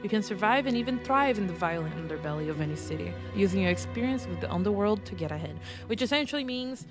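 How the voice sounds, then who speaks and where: A woman's voice: medium pitch, expressive, quick pace. A woman streamer with a congested, throaty voice chatting playfully and reading game text aloud. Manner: playful and self-mocking, with frequent restarts. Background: game music.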